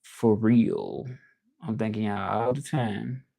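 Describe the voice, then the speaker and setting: A man with a Southern accent from Memphis talks on a livestream in a loose, reflective, motivational ramble. His voice sits low and carries a gravelly edge with a warm, mellow tone. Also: steady tone, slow pace. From Memphis, Tennessee. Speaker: livestream host. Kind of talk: casual livestream talk.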